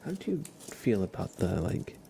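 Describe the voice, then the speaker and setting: A man hosting an ASMR livestream, speaking softly and half in whispers close to the microphone, in a gentle, halting, unhurried murmur. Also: low-pitched, sing-song, gravelly, very noisy. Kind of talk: soft-spoken, half-whispered ASMR chat and playful roleplay.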